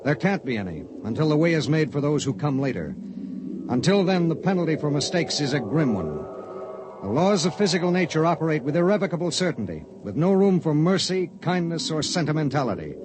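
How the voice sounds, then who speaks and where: A man's voice: a little high, big pitch swings, brisk, background noise. An actor in a 1950s radio drama playing a weary, dutiful male spaceship pilot, grave in narration and clipped in dialogue. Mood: grave, reflective. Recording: old 1950s radio recording with music.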